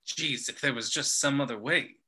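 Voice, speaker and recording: A man's voice: medium pitch, normal speed, pushed and bright, slightly rough. A man playing a nervous, meek old mobster in a cartoon film table read, hesitant and apologetic. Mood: anxious, regretful.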